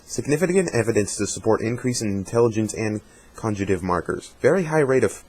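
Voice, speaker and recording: A man giving a measured dramatic reading of a journal, sometimes stumbling over technical words. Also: on the low side, expressive, darkish tone, breathy edge. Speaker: amateur reader of fan fiction journal.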